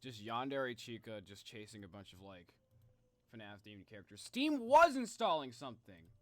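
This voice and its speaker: A man's voice: mid-range voice, sing-song, moderate pace, no echo, studio quality. A man doing casual, rambling livestream commentary as he reacts to game cutscenes in an informal way.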